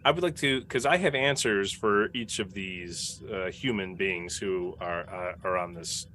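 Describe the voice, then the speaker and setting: A man on a casual panel video call, posing questions in a halting, deliberate way. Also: deep voice, bright, forceful tone. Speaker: cast member asking questions. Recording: noisy video call audio.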